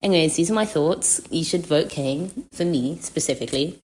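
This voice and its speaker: A woman's voice: low voice, expressive, moderate pace, a little mellow, low-quality recording. A woman leaving a casual listener voice message, arguing her opinion in a playful way.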